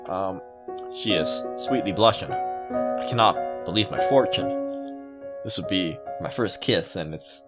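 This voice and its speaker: Low-voiced young man reading over loud music. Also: expressive, unhurried. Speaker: gaming video host. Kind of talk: visual novel read aloud with casual commentary. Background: loud game music.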